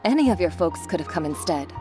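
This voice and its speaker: A woman's voice: on the low side, sing-song, quick pace, warm tone. An actress playing a deferential, chatty woman shopkeeper in an English video game dub, polite and effusive. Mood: apologetic, obliging. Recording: music behind the voice.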